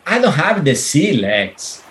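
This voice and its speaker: An actor playing a seasick, smooth-talking businessman husband in a radio play, melodramatic and whiny. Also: fairly high pitch, big pitch swings, slow, fairly bright tone, full character voice. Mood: anxious, queasy. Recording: faint background noise.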